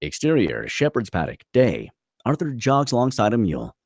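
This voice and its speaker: A man's voice: medium pitch, wildly swooping pitch, moderate pace, a little mellow, dry recording, studio quality. A man reading stage directions as the narrator of a dramatic film script at a table read.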